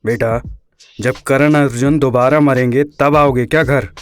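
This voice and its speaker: A man's voice: mid-range voice, steady tone, measured pace, close to an everyday voice. A man playing an exasperated, sarcastic father scolding his grown son in an audio drama.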